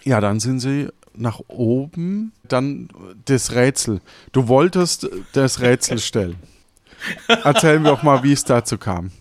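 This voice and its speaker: A man's voice: medium pitch, expressive, unhurried. A man in casual conversation as a podcast co-host, thinking aloud and rephrasing as he goes. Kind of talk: casual chat.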